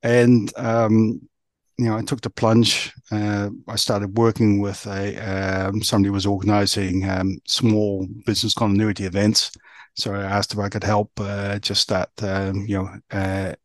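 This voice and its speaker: A man with a mixed New Zealand accent giving conversational interview answers as a podcast guest, in halting phrases. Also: on the low side, little pitch movement, dry recording. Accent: New Zealand, mixed by years abroad. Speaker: podcast guest, resilience professional.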